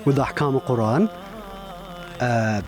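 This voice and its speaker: A man from Pakistan and Iraq who does not speak English as a first language, answering a host's questions as a competition contestant in an earnest, polite, halting way. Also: medium pitch, music in the background.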